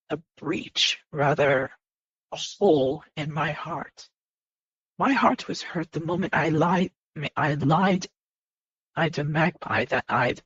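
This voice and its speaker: A person speaking unscripted to viewers in a personal confession, earnest and halting, often repeating phrases and starting over. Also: mid-range voice, husky. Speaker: video creator. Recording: muffled lo-fi mic in echoey room.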